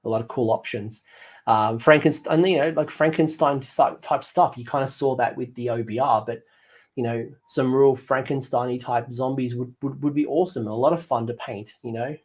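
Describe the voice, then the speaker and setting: A man losing his voice, hosting a casual hobby livestream and thinking out loud with lots of ums and restarts. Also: mid-range voice, fairly even intonation, moderate pace. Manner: rambling, thinks aloud with many ums and restarts. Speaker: livestream host.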